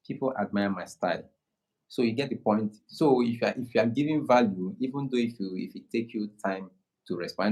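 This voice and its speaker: A man from Nigeria with a Nigerian accent, speaking slowly and calmly in casual conversation, often restarting his sentences. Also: on the low side, poor audio. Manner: slow, unhurried, many restarts. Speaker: guest creator.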